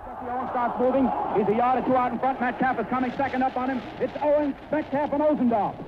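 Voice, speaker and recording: A man's voice: very high-pitched, fairly even intonation, fast. A man giving live 1930s radio commentary on an athletics race. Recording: tinny, noisy 1930s radio transmission.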